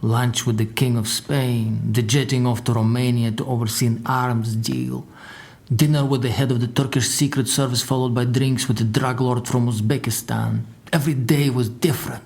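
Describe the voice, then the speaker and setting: An actor playing a proud, solemn Russian secret service agent in an audio drama, speaking gravely and boastfully in deliberate, dramatic lists. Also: male voice, medium pitch, fairly even intonation, a little mellow, natural voice.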